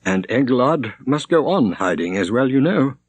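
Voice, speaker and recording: A man voicing an earnest, quick-witted, grieving young woman in an audiobook. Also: medium pitch, sing-song, breathy edge, dry recording, pitched above the performer's own voice. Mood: sad, anxious. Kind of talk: audiobook character reading.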